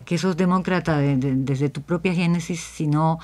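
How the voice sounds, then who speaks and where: Radio guest, female, lowish voice, explains reflectively and keeps checking the listener follows. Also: moderate pace, warm tone. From Chile. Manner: explanatory, punctuated with frequent check-in tags. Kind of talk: reflective discussion in a radio interview.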